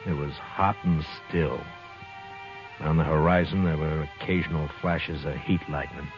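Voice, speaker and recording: An actor playing a stern, weary US marshal in a 1950s radio western, talking and narrating in a terse, firm way. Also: male voice, extremely low pitch, fairly even intonation, unhurried. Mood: grave narrating. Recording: muffled old radio recording with music.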